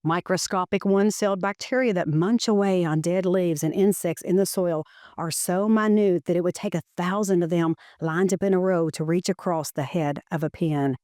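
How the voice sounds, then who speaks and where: A woman reads a children's educational picture book aloud in measured, deliberate phrasing. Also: fairly low pitch, warm tone, dry recording, clean studio sound.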